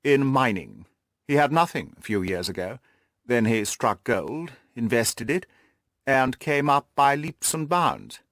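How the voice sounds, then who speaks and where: A man playing a haughty, reserved aristocratic lord with a pompous, measured way of talking in an audiobook. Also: mid-range voice, very animated, fairly bright tone, no room sound, studio quality. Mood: aloof. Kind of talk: audiobook character dialogue.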